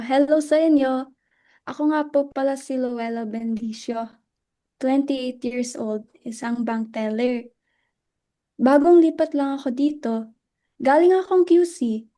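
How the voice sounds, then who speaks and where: A woman's voice: high-pitched, dark tone. A Filipino woman playing a shy, reserved widowed newcomer in her late twenties, in a dramatic reading.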